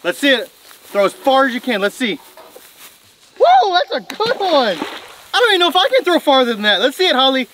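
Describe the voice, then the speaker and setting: A woman narrating a family vlog from behind the camera on a farm, excitedly cheering her kids on as they play. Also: high-pitched, brisk, long pauses, slight background noise. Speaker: mother and family vlogger. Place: outdoors on a farm, barn and lakeside.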